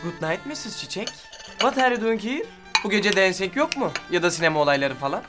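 A man's voice: sits high, expressive, fairly quick. A young male actor playing a cheeky, sulky student in a TV drama. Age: teens or young adult. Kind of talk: TV drama acting. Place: indoor room in a house, on set. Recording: loud music under the dialogue.

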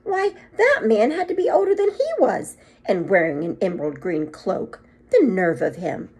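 A woman's voice: mid-range voice, normal speed, husky. A middle-aged woman reading a children's story aloud like a teacher, expressively acting it out and adding short asides. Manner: animated, acts out the story, adds short asides. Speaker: second grade teacher reading aloud to pupils. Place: small room at home, indoors. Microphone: phone or tablet propped at arm's length.

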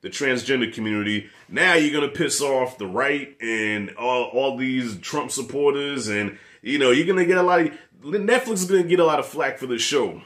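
Profane, animated man with a New York accent. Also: medium pitch. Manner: profane, animated, talks with his hands. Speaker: movie reviewer. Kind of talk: casual review rant. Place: living room with posters.

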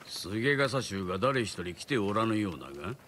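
An older archery master in a samurai video game. His voice is fairly low, grave and curt, with a sceptical edge. Faint ambience sits behind it. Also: sing-song, normal speed, fairly bright tone, slight background noise. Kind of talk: dramatic game dialogue.

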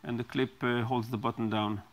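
A middle-aged man explaining and demonstrating a device he designed, speaking haltingly with many ums and restarts, in a small studio on a lapel mic. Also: mid-range voice, level tone, slight background noise. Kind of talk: product demonstration.

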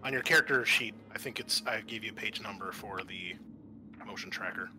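A man speaking haltingly as game master, narrating scenes and explaining rules in a tabletop roleplaying game. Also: lowish voice, over music. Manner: hesitant, halting, thinking aloud. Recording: clear background noise, amateur podcast.

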